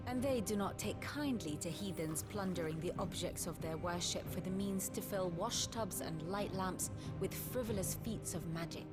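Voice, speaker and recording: A learned, composed scholar from a video game lectures with precise, measured phrasing and a dry wit, voiced by a woman. Her tone sits in an ordinary middle register. Loud orchestral music plays underneath her. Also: medium pitch, lightly acted. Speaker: scholar explaining history. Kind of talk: video game cutscene acting.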